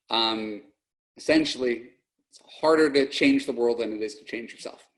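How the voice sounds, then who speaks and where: A man's voice: mid-range voice, fairly bright tone, low-quality recording. Blunt male dating coach host. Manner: blunt, plain-spoken, self-correcting. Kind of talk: podcast monologue and call-in coaching.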